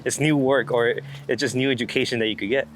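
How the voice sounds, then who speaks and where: A man's voice: mid-range voice, fairly even intonation, quick pace, fairly bright tone. A man talking casually as an interviewed photographer, rambling and enthusiastic, with slangy speech and plenty of swearing. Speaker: street and landscape photographer being interviewed. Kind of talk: casual unscripted interview chat. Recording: clear background noise, outdoor ambience.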